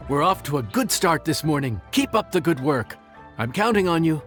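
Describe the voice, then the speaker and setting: An actor playing a friendly, encouraging restaurant worker in a video game, easygoing and obliging. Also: male voice, fairly high pitch, sing-song, moderate pace, a bit bright, faint music behind. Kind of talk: natural video game voice acting.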